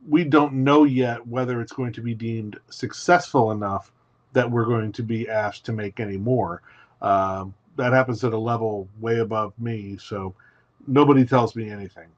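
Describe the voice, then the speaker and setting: A man's voice: medium pitch, moderate pace, no echo. Male guest writer, articulate and methodical; dry, slightly muffled call audio. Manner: articulate, methodical, asks himself rhetorical questions. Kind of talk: podcast interview.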